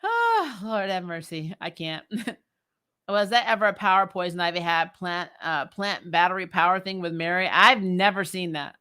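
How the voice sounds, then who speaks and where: A woman's voice: on the low side, animated, moderate pace, bright, forceful tone. Blunt, giggly woman chatting casually. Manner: blunt, opinionated, exasperated. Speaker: host of a TV recap livestream. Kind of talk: casual chat, reading and answering viewer comments.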